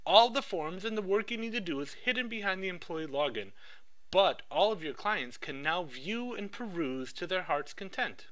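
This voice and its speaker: A man giving a rehearsed project pitch with an upbeat sales-pitch delivery. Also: lively intonation, normal speed, fairly bright tone, low-quality recording.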